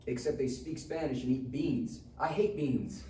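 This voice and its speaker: Spoiled suburban teen, acted by a man, snarky and reluctant, mellow tone, echoey distant room recording. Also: mid-range voice, moderate pace, natural voice. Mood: balking. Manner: sardonic, crude, slangy. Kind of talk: live table read of comedy screenplay. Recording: roomy, distant mic, faint noise.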